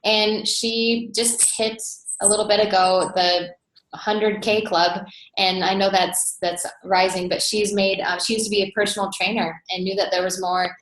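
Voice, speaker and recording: A woman's voice: medium pitch, fairly even intonation, a little slow, fairly bright tone. An American woman from North Dakota hosting an informal webinar, chatty and enthusiastic, recorded indoors over an online call. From North Dakota, USA. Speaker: webinar host and team coach. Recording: roomy echo.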